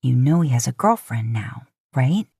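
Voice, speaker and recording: A woman voicing a gruff, earnest young male firefighter in a fan fiction audiobook, with a halting, drawling delivery. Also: big pitch swings, dark tone, no room sound, studio quality, exaggerated voice. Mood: wary, confused. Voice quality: deep drawl.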